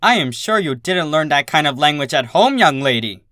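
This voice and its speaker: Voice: mid-range voice, lively intonation, moderate pace, sharp tone, no room sound, studio quality. A storybook reader voicing a stern adult female pony schoolteacher who barks and hisses reprimands. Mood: scolding, indignant. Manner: barking, hissing reprimands. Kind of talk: storybook character voice in fan fiction reading.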